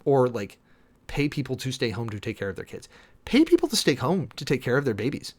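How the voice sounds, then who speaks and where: A man's voice: mid-range voice, very animated, a little fast, darkish tone. A man giving casual, opinionated livestream commentary as the host, informal and emphatic, often repeating phrases for stress. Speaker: live streamer hosting a political commentary show.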